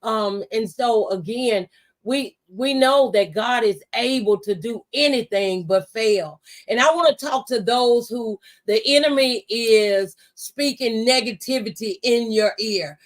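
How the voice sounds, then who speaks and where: A woman's voice: fairly high pitch, measured pace. A woman hosting a faith-based talk show, speaking in an encouraging, testifying way with preacher-like exhortation.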